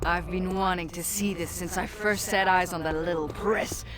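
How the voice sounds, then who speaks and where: An actress voicing a seductive, scornful demoness in a video game, with a sultry, mocking delivery. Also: female voice, on the low side, steady tone, normal speed, noisy background, lightly acted. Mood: sultry, gloating. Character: seductive, scornful demoness devoted to her master.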